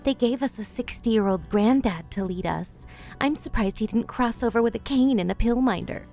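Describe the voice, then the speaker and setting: A woman's voice: a little high, normal speed, dark tone, soft background music, close to an everyday voice. A woman voicing an exasperated, sarcastic female military scout in an audiobook. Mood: sardonic. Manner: dry, sarcastic. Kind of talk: audiobook character acting.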